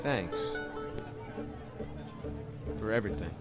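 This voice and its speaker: An actor playing a proud, blunt young rodeo rider from West Texas who talks in a guarded way. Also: male voice, lowish voice, lively intonation, slow pace, loud music behind the voice, a loud crowd. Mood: subdued. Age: young adult. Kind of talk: radio play acting.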